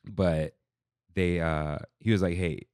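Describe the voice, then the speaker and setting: A man's voice: deep voice, slow pace, smooth, no room sound, studio quality. A young man who grew up speaking Armenian, chatting casually as a podcast co-host and telling wedding stories with quoted voices. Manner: animated storyteller, quotes people in voices. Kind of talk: casual chat.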